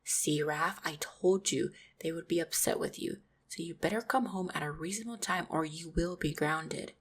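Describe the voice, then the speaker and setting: A woman voicing an affectionate, supportive orc fiancée in an intimate roleplay audio, speaking tenderly and reassuringly with gentle teasing, often close to a whisper. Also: sits low, moderate pace. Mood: fond scolding. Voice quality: breathy, husky, often near-whispered.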